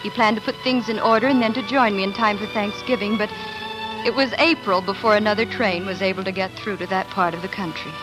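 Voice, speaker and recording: A woman's voice: medium pitch, fairly even intonation, fairly quick, music in the background, lightly acted, rough recording. An actress playing a young homesteader wife and mother in a 1940s radio drama, narrating in an earnest, wistful, dramatic way. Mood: earnest narration. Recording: 1940s radio broadcast recording.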